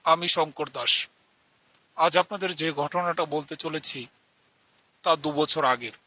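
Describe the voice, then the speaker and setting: A man voicing a polite young villager who tells a scripted ghost story in a measured, earnest way. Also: a little high, pushed and bright, rough recording. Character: polite young village man from a poor family recounting his ghost encounter. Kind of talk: scripted horror story narration with acted dialogue. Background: music.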